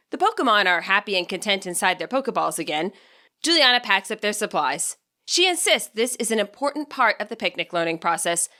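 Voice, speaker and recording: A woman narrates a scripted story in an expressive audiobook style. Her voice is fairly high and bright. Her intonation moves around a lot, keeping the reading animated. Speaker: audiobook narrator and fan fiction author.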